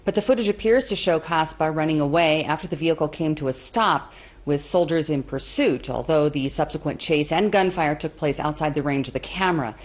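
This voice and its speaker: A woman news anchor reading scripted reports in a measured, formal way. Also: deep voice.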